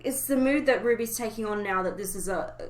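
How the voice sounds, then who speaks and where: A woman hosting a casual fan discussion podcast, chatting informally about an animated series. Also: on the high side, a little fast. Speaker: podcast host. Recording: echoey room.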